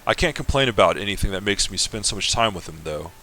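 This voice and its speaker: A man's voice: on the low side, animated, quick pace, pushed and bright. A man reading a letter from an earnest fifteen-year-old bride to her mother. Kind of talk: semi-dramatic reading of a game.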